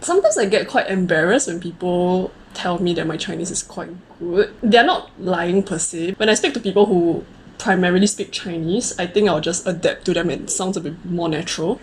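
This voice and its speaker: Low-pitched Singaporean woman chatting casually. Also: a little fast, slight background noise, low-quality recording. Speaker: co-host of a conversational video series. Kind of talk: casual chat.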